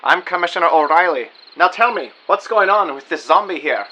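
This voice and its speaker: An actor playing a forthright police commissioner in a comic mystery audio drama. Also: male voice, fairly high pitch, lively intonation, brisk, bright, forceful tone, muffled recording, slight background noise. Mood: brisk, curious.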